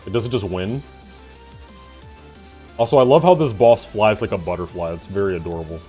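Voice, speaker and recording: A man in his twenties chatting casually with his live-stream chat, laughing and swearing in quick asides. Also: on the low side, warm tone, faint music behind. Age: 20s.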